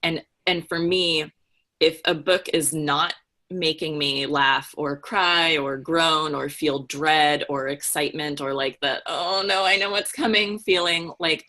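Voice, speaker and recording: A woman's voice: deep voice, sharp tone. A woman talking casually about books as a podcast panelist, with an earnest, emphatic manner. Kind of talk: casual book discussion.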